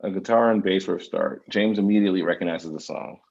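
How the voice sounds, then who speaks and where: A man's voice: deep voice, a little fast, creaky, a little mellow, muffled recording. A man reading scripted screen directions as narrator at a table read. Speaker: stage-direction reader.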